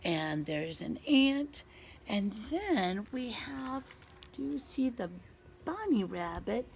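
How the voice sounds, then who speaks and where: A woman teacher doing an interactive read-aloud for children outdoors, expressive, asking questions and pausing for answers. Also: fairly high pitch, vocal fry. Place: outdoors in a back garden. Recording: faint outdoor background noise.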